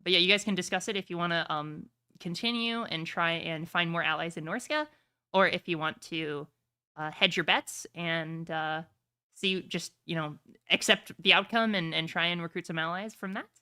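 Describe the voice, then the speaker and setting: A woman's voice: fairly low pitch, normal speed, sharp tone, smooth. A woman game master chatting casually and informally to her players and stream viewers, swearing now and then. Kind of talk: casual chat wrapping up an online tabletop game session.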